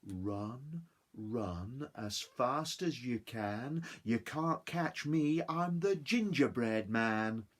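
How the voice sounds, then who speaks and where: A man voicing a cheeky gingerbread man who taunts in a slow, sing-song way. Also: mid-range voice, lively intonation. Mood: taunting.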